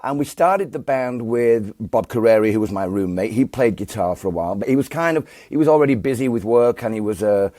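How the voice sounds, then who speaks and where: A man's voice: mid-range voice, brisk, few pauses, warm tone, dry recording, studio quality. Yorkshire man telling a rambling story. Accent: Northern English, Yorkshire. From England. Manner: rambling, many fillers and restarts. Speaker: guest musician. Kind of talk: relaxed storytelling interview.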